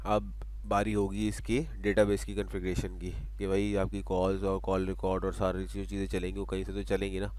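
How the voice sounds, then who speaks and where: A man presenting a casual software tutorial, talking through steps and dropping in English technical terms. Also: sits low, completely flat delivery, moderate pace. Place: voice-over for screen recording.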